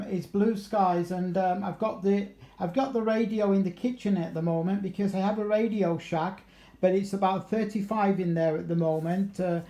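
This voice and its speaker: A man's voice: sits high, mellow, echoey room. A man with a southeast English accent chatting casually and matily as a radio operator, using procedure words. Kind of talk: casual radio contact chat. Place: kitchen at home.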